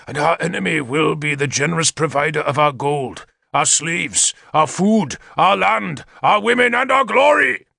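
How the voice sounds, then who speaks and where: A man's voice: high-pitched, lively intonation, normal speed, gravelly, pushed and bright, slightly rough, no echo, clean studio sound, pitched above the performer's own voice, full character voice. A man voicing an imperious, vengeful warlord king in a menacing, declamatory manner, as in an audiobook. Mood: scornful rage.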